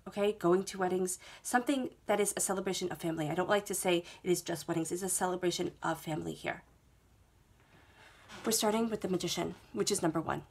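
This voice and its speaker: A woman with a head cold giving a tarot reading at a table, talking in a soothing, reassuring way and often checking in with "okay?". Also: on the low side. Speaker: tarot reader. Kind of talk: monthly tarot reading to camera. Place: indoors at a table, reading laid-out cards.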